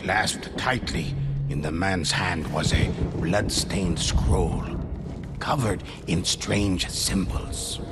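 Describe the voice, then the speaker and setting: A man narrating a dark game story in a grave, measured way. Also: low voice. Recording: music under the voice.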